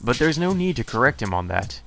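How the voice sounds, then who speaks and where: A man's voice: mid-range voice, sing-song, a little fast, gravelly, fairly bright tone. A man hosting a let's play, reading game dialogue aloud and adding casual, blunt commentary. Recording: music playing under the voice.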